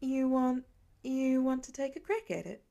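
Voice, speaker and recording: A patient, caring mom in an audiobook, voiced by a woman narrator. Her voice is fairly high, and she speaks in a gentle, encouraging, reassuring way. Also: fairly even intonation, a little slow, vocal fry, lo-fi sound. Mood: caring, wry. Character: patient, caring mother of young and teenage children. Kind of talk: audiobook character voice.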